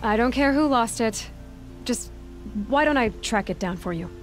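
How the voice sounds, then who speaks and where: An actress playing a capable, impatient young heroine in a video game, direct and matter-of-fact. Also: female voice, fairly high pitch, lively intonation, moderate pace, lightly acted. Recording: game music behind the voice.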